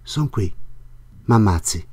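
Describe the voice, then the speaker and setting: A man's voice: on the low side, animated, slow pace, darkish tone, husky, exaggerated voice. A man voicing a frightened young woman held captive, begging desperately with a trembling voice. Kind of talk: audiobook reading of a classic novel.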